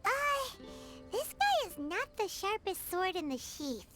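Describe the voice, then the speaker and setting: A tiny fairy companion from a game, extremely high and childlike. The voice swoops wildly and sounds indignant and excitable. Music plays prominently behind it. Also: female voice, unhurried, full character voice. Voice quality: squeaky, childlike. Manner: refers to self in third person, indignant. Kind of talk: video game voice acting.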